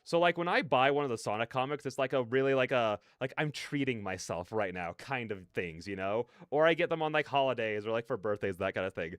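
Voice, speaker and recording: A man's voice: medium pitch, animated, a little fast, hardly any pauses, fairly bright tone, clear, resonant tone, no room sound, clean studio sound. A man hosting a livestream, chatting casually in a rambling, slangy, digressive way. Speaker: livestreamer hosting a reaction stream.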